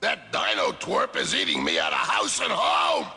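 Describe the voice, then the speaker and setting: A man voicing a villainous, blustering reptile king in a cartoon, gravelly and menacing, with bombastic delivery. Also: low voice, wildly swooping pitch, moderate pace, shouting, in a large hall, exaggerated voice. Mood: enraged. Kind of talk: animated cartoon villain acting. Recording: music behind.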